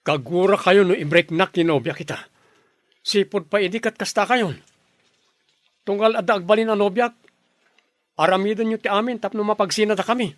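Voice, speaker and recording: A man acts out an earnest young Filipino son defending his love in a radio drama. His voice sits high for a man and sounds frustrated and pleading. The recording is dry and close. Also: normal speed, pauses often, no echo, close to an everyday voice. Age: young adult. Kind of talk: Ilocano radio drama acting.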